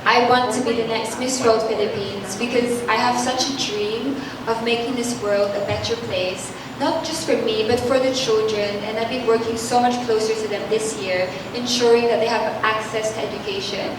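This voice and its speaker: A woman in her twenties from France, a pageant candidate giving poised, measured answers at a press meet. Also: on the high side, fairly even intonation. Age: 20s. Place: hotel function room. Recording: echoey room, distant mic, background hum.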